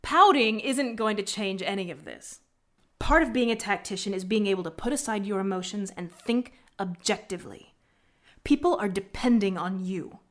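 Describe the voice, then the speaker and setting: Game casting: actress for a stern female military tactician, medium pitch, sardonic, sharp-tongued, articulate, little patience. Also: lightly acted. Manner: curt, sardonic, lecturing, articulate. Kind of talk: scripted video game character acting.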